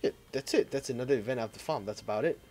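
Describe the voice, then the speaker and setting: Co-host, male, easygoing gamer chat, mid-pitched voice, drifts off mid-sentence. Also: rapid-fire, darkish tone. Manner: laid-back, swears casually, trails off searching for words. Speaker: podcast co-host. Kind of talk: casual gaming chat.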